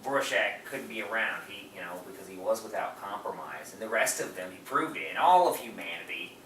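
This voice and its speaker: Male podcast co-host, forceful bright tone, mid pitch, effusive film talk, picked up from across a room with faint noise. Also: lively intonation, brisk. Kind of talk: casual film discussion. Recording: roomy, far from mic, faint noise.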